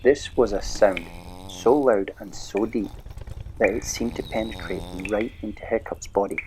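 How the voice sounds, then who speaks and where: A man narrating a children's storybook audio play in a measured, expressive way. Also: lowish voice, noisy background.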